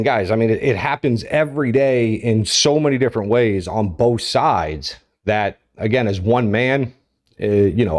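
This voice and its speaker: A man's voice: lowish voice, darkish tone. An American man hosting an unscripted political commentary, talking informally and in a rambling way, often checking in with his listeners. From United States. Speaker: host of a political commentary show. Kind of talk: unscripted opinion commentary to viewers.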